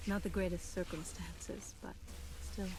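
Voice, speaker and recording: An actress playing a steady, resolute young female Jedi in a video game, earnest and composed. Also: fairly low pitch, unhurried, noisy background, close to an everyday voice. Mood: guarded. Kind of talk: video game cutscene acting.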